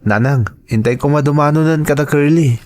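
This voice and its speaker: A man's voice: a little high, fairly quick, lightly acted. A man playing an earnest young lover and respectful son in a dramatized audio story. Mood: earnest, pleading.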